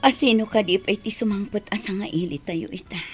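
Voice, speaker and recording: A woman's voice: medium pitch, fast talker, darkish tone, faint music behind, close to an everyday voice. A woman playing an emotional grown daughter with an Ilocano accent in a radio drama. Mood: hushed, eager. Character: emotional grown daughter and mother seeking her mother's forgiveness.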